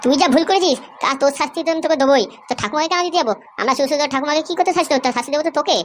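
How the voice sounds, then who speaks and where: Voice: sits high, racing, lightly acted. A performer voicing a hostile, vengeful woman in a cartoon comedy, taunting and confrontational, with faint ambient noise behind. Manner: confrontational, threatening. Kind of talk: amateur cartoon comedy dialogue.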